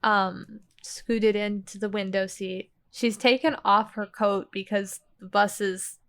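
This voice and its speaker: A woman's voice: medium pitch, fairly even intonation, slow, fairly bright tone. A woman playing a tabletop roleplaying game, casually improvising a description of what her character does. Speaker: player in a tabletop roleplaying podcast.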